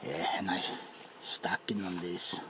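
A man voicing a greedy, mischievous male leprechaun in a put-on Irish accent, speaking low and often in a whisper in a comic ASMR roleplay. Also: steady tone, slow pace, bright, forceful tone, slight background noise, heavily acted. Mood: sly. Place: dark room, close to camera.

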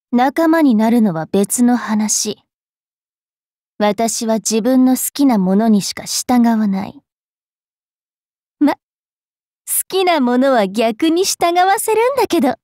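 A woman playing a haughty, mischievous young vampire fairy priestess in an anime game, brash and teasing in a rough-spoken way. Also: on the high side, mellow, no echo, studio quality. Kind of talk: anime game character voice lines.

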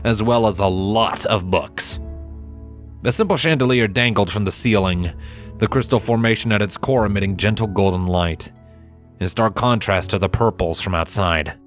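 Low-voiced man narrating a story over music. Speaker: narrator of a fan fiction audiobook. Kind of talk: scripted dramatic story narration.

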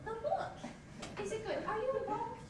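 A woman's voice: extremely high pitch, moderate pace. A young woman acting on stage as a pushy, eager bride, insistent and theatrical, in an amateur comedy. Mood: pleading, eager. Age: young adult. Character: pushy, eager bride in her thirties hunting a groom. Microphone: far camera microphone. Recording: distant, echoey, very noisy old stage videotape.

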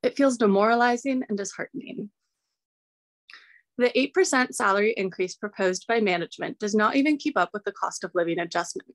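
A woman's voice: medium pitch, dry recording. A young woman, a college student, earnestly reading a prepared public comment over a video call. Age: young adult.

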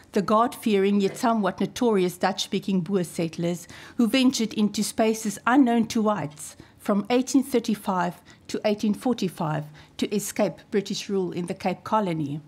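A woman in her fifties from South Africa, an Afrikaans speaker with a South African accent, reading a prepared talk at a podium microphone in a wood-panelled hall, in a measured, formal way with deliberate emphasis. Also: mid-range voice, darkish tone. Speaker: archivist giving a talk.

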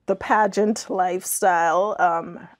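A woman's voice: lowish voice, steady tone, slow. A young woman from the Bahamas, talking casually as an interview guest, often dropping in "like" and "you know". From Andros, Bahamas. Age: young adult. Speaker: podcast guest, scientist and author. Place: small indoor podcast studio.

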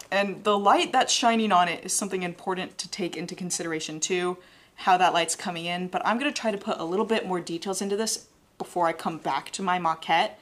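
A young woman with a Southern American accent, an art instructor talking step by step through a live drawing demonstration in a studio, often correcting her own words as she sketches. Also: on the low side. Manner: relaxed, explains step by step while sketching, self-correcting. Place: studio with an overhead desk camera.

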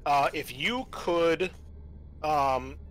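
A man narrating a tabletop roleplaying game as game master, halting and thinking aloud as he improvises. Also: fairly high pitch, lively intonation, a bit bright, low-quality recording.

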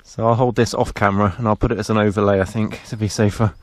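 A man's voice: on the low side, fairly even intonation, fast talker. A man giving casual commentary as he explores a flooded underground mine tunnel, talking freely and laughing easily. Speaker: channel host exploring a mine.

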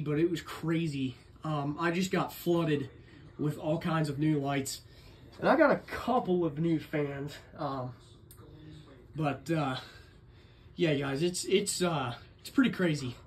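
High-voiced man chatting casually. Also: a little slow, breathy edge. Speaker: vlogger, ceiling fan collector. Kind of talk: casual unscripted show-and-tell video narration.

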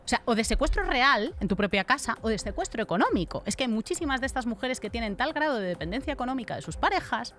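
A woman's voice: on the high side, very animated, quick pace, few pauses, a bit bright, faint music behind. A middle-aged woman with a Castilian accent from Spain, commenting on the news in an informal, colloquial way as a podcast co-host. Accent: Castilian Spanish from Spain. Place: radio-style podcast studio. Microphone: close studio microphone on desk.

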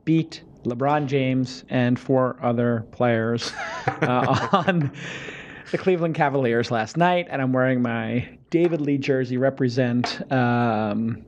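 A man in his forties hosting a casual studio interview, his voice slightly hoarse from shouting, talking with energy and his hands. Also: mid-range voice, slow pace, highs cut off, a little hiss or hum. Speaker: podcast host. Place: podcast studio. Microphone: studio microphone on boom arm.

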